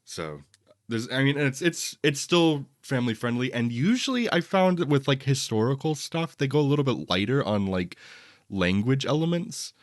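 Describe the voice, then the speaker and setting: A man's voice: mid-range voice, sing-song, normal speed, no echo, clean studio sound. A man co-hosting a casual film chat, talkative and opinionated with a wry streak. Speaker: podcast co-host. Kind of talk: casual, conversational movie chat.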